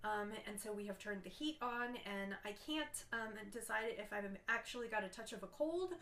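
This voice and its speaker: A woman's voice: on the high side, expressive, normal speed, fairly bright tone, echoey room, a little hiss or hum. A woman hosting a casual knitting video podcast, stuffy and congested from a cold, chatting along with frequent ums. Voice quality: nasal, stuffy. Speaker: podcast host.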